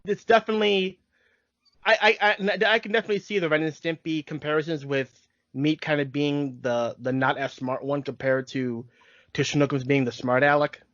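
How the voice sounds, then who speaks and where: A man's voice: on the high side, fairly even intonation, moderate pace, a bit bright, no room sound. A man hosting a podcast in casual, fannish conversation. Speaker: podcast host. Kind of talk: casual fan podcast chat. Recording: dry, dull lo-fi recording.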